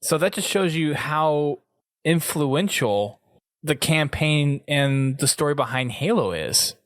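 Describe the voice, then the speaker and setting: A man's voice: on the high side, animated, slow pace, dry recording, clean studio sound. A man from the United States hosting a casual gaming podcast in a conversational way. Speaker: podcast host.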